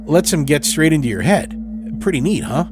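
A man's voice: fairly high pitch, expressive, normal speed, over music, voice pushed up, lightly acted. A man playing a nervous, chatty male lab assistant in a horror audio story, informal and a little hesitant.

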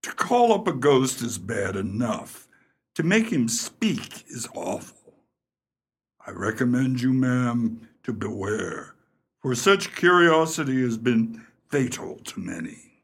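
A man acting a solemn, cunning conjurer in a radio play, portentous and ominous, with a gravelly husky voice. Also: mid-range voice, big pitch swings, full character voice. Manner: slow, portentous.

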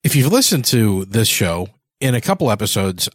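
A man's voice: lowish voice, very animated, normal speed, dry recording, studio quality. A man hosting a podcast in a conversational, reflective way, often rephrasing as he goes. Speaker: podcast host interviewer. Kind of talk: conversational interview and host talk.

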